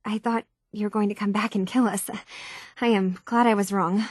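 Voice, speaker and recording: A woman's voice: mid-range voice, fairly even intonation, moderate pace, no echo, clean studio sound, natural voice. An actress playing an earnest, troubled young woman unsure of who she is, speaking in a hesitant, sincere way for video game dialogue. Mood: relieved.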